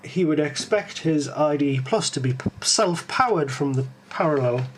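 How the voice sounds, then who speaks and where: Halting Geordie man, slightly high voice. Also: lively intonation, slight background noise, low-quality recording. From Tyneside, England. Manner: hesitant, full of ums and restarts, musing aloud. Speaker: live-stream host. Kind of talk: live tech stream, thinking aloud and answering chat.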